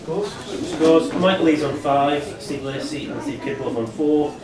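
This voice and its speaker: A man's voice: a little high, measured pace, crowd noise. A man calling out contestants' names and scores during a quiz. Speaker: quiz buzzer announcer and scorer. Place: pub quiz room. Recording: very echoey room, distant, loud electronic sounds.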